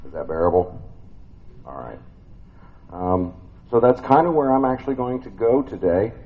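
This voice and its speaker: A man's voice: fairly low pitch, expressive, normal speed, phone-quality sound. A man with a big voice preaching a family sermon in a church, conversational and folksy, with many fillers and restarts.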